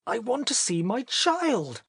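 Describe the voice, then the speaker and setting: A man voicing a distraught gentleman, a new father near collapse, agitated and halting, in a storybook reading. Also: extremely high pitch, very animated, husky, no echo, studio quality, pitched above the performer's own voice, full character voice. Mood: desperate.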